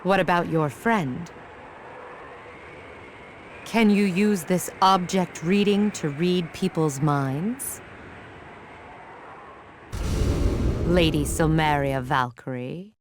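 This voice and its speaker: Slow-spoken, composed warrior woman. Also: lowish voice, slight background noise. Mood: polite, probing. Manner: measured, politely probing. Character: composed, shrewd warrior woman, polite but teasing. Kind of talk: dubbed video game cutscene acting.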